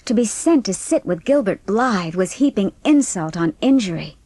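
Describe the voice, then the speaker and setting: A woman narrates a storybook in scripted audiobook style. Her voice sits at a middle pitch, and the sound is dry with no room echo. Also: lively intonation, moderate pace, dark tone.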